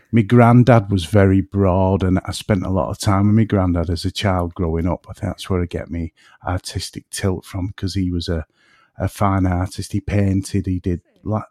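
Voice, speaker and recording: A man's voice: deep voice, dark tone, dry recording, studio quality. Man with a northern English accent. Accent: northern English, between Manchester and Liverpool. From North West England. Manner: rambling, self-deprecating, drifts off topic. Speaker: podcast guest. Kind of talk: casual chat.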